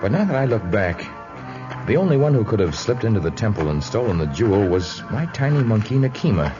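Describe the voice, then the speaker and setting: An actor playing a calm, reasonable jungle hero in a 1950s radio drama, speaking in measured, formal sentences. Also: male voice, lowish voice. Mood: reflective. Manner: measured, thoughtful, formal diction. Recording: dull old radio recording, music behind.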